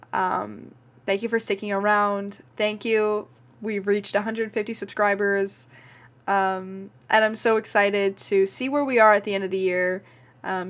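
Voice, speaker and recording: A nineteen-year-old woman from Canada with a Canadian accent, rambling casually to camera in her vlog and filling her talk with "like" and "um". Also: medium pitch. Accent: Canadian English. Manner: chatty, frequent "like" and "um" fillers. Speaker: vlogger and podcast host.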